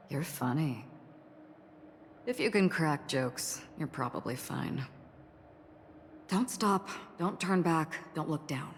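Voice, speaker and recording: Low, enigmatic swordswoman in long reverb. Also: lively intonation, a little hiss or hum. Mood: gently wry. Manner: measured, formal, cryptic. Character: calm, enigmatic woman swordfighter, wistful galaxy ranger. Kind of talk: video game story acting. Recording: long reverb, clear music behind. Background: music.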